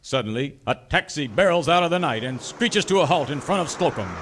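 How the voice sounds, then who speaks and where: Man as animated, melodramatic radio serial announcer. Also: fairly high pitch, brisk, background noise, lightly acted. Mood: mock-dramatic. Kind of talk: mock-dramatic scripted narration.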